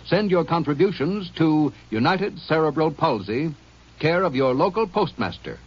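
Earnest old-radio announcer, lively pitch. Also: male voice, moderate pace, husky. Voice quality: earnest, emphatic. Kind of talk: 1950s radio public service appeal. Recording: muffled old radio broadcast.

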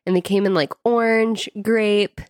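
American girl chatting on a podcast, says like a lot, mid pitch, super dry recording. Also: fairly even intonation, unhurried, dry recording. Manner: informal, peppered with "like". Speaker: podcast co-host. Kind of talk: casual chat.

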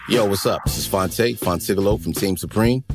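A man reading a scripted promo in a steady, measured way. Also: lowish voice, over loud music.

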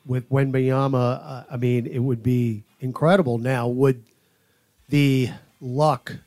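A man who works as a play-by-play announcer, giving conversational sports analysis in a studio with measured, paused phrasing. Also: medium pitch, little pitch movement, darkish tone, no echo. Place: podcast studio.

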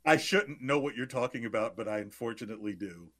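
A man's voice: medium pitch, fast talker, fairly bright tone. A man co-hosting a sports betting podcast, joking and bantering playfully in casual chat.

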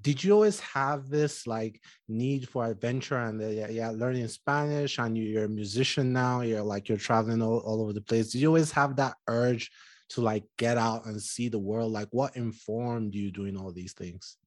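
An interviewer asking casual questions in quick, chatty bursts, leaning heavily on "like". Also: mid-range voice, no echo.

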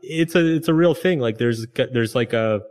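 A man's voice: mid-range voice, moderate pace, darkish tone. A man being interviewed as a guest author, talking in a loose, reflective way with many fillers. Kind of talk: candid conversational interview.

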